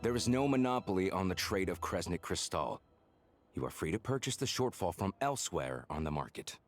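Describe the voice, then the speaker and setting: An actor playing a stern, officious soldier in a video game, speaking formally and curtly. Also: male voice, low voice, expressive, fairly quick, soft background music. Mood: cold, impatient. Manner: formal, curt, unyielding. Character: stern, officious male soldier on delivery duty. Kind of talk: video game character dialogue.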